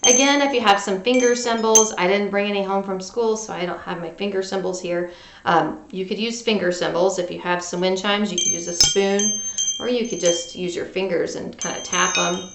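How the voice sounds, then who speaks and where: A woman teacher talking directly to young children in an animated, conversational read-aloud lesson style. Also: mid-range voice, moderate pace, creaky, background noise. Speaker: music teacher and storyteller for children. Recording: roomy, echoing home recording.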